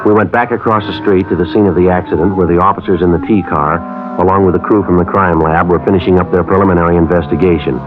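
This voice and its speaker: An actor playing a terse, businesslike police sergeant with a clipped, deadpan delivery in a 1950s radio drama. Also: male voice, deep voice, fast, lightly acted. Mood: flat, factual. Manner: clipped, matter-of-fact deadpan. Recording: tinny vintage radio recording with music.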